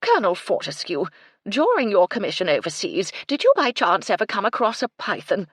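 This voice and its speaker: A woman's voice: medium pitch, sing-song, fairly quick, husky, no echo, studio quality, pitched above the performer's own voice. A woman voicing a fussy, haughty English society lady in a storybook, prim and precise. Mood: puzzled, impatient.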